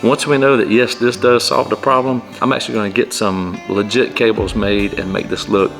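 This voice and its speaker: A man's voice: lowish voice, steady tone, moderate pace, gravelly, music in the background. A man hosting a casual how-to vlog, talking in a plain-spoken, informal and self-effacing way. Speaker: amateur pilot and video host.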